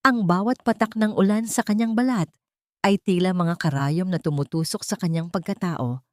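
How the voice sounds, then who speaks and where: A woman's voice: lowish voice, normal speed, darkish tone, dry recording, clean studio sound. A woman reading scripted dramatic narration in a solemn, melodramatic storytelling manner.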